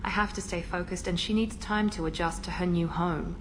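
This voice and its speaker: A woman plays a cool, self-controlled operative in a sci-fi game. Her voice sits low and her delivery is measured and reserved. Steady noise runs beneath it. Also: natural voice. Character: composed adult female operative, cool and controlled. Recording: game audio with ambient noise.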